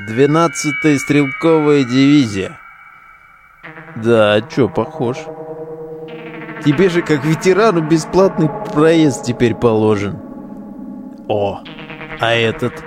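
An actor in a modern audio drama playing a foul-mouthed, mocking relic digger who talks in coarse slang. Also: male voice, a little high, slow, over music. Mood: jeering amusement. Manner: slangy, coarse, jeering banter.

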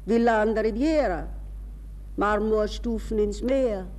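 A woman's voice: mid-range voice, measured pace, darkish tone, big-room sound, lightly acted. A woman playing a restless, ironic young society lady, musing to herself in a radio drama monologue. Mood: dreamy. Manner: musing, self-questioning, shifting between reverie and mockery.

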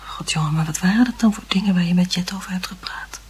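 A woman acting in a radio drama as a concerned, gently admonishing mother, with a husky, breathy voice. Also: fairly low pitch, fast. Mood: gentle, forgiving.

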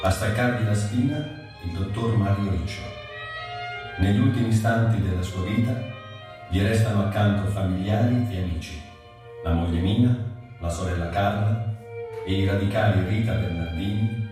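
A man's voice: low voice, normal speed. A man giving solemn scripted narration as the narrator of a stage piece. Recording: very echoey, distant, music behind.

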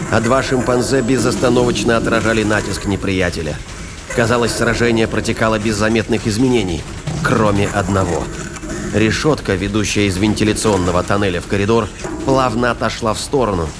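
Low-voiced male narrator over dramatic music. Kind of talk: scripted narration of sci-fi thriller audio drama.

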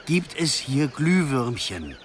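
A young man in a radio drama, earnest and stubborn. His pitch is middling but swings in a lively way, with a naive, fixated air. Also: slow pace, slight background noise. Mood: insistent. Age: young adult. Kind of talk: radio play acting.

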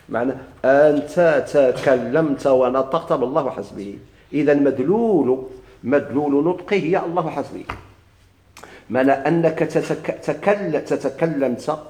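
Gravelly Moroccan man teaching grammar. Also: mid-range voice, mellow. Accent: Moroccan Arabic. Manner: explains slowly, repeats and stretches key words, asks students questions. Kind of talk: recorded grammar lesson. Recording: echoey classroom recording.

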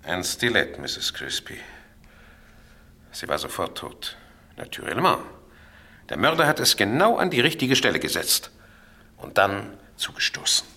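An actor playing a shrewd, courteous and pompous detective in a radio crime drama, sprinkling French phrases into deliberate speech. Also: male voice, on the low side, huge pitch swings, vocal fry, pushed and bright, husky, heavily acted. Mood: explaining, assured. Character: shrewd, theatrical Francophone male detective, self-assured and courteous.

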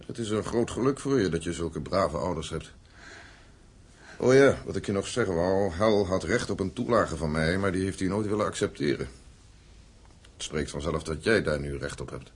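Husky, low-voiced stern old grandfather. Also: expressive. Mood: bitter, cool. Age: older. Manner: formal, measured, blunt. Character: stern, embittered old grandfather, estranged and coolly formal. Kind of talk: radio drama acting.

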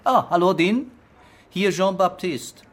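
An actor playing a wry, deliberate French police commissioner in a German radio crime drama. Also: male voice, high voice, lively intonation, heavily acted. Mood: coaxing.

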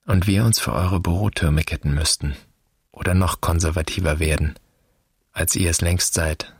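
A very deep, husky brooding son. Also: male voice, steady tone, no room sound, studio quality. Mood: wounded, grave. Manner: measured, poetic, intense recitation. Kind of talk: literary radio drama monologue.

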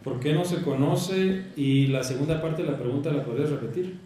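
A man's voice: fairly high pitch, dark tone. A man moderating audience questions in a large auditorium, calling on people and repeating their questions. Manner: brisk, calls on askers, repeats questions. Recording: echoey auditorium.

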